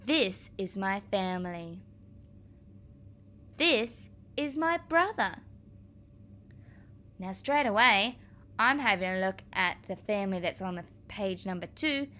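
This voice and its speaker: A woman teacher reading aloud to young children, explaining as she goes in a clear, expressive manner. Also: a little high.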